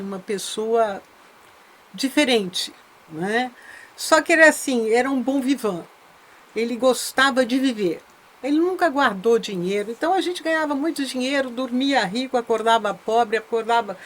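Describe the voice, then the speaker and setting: An older Brazilian woman, a veteran insurance broker, reflectively telling her life story as an interview guest, often adding tag questions. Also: fairly high pitch. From Brazil, born in Minas Gerais, raised in São Paulo.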